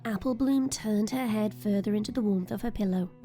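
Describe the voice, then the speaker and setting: A woman narrating a scripted audio drama in a measured, ominous storytelling manner. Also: medium pitch, warm tone. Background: music.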